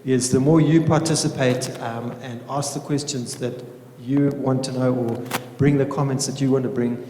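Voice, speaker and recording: A man's voice: mid-range voice, steady tone, moderate pace, dark tone, slight background noise. A man speaking informally as a teacher opening a panel evening, addressing a room and praying. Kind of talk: informal welcome speech and spoken prayer. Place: indoor room with small audience. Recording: echoey reverberant room.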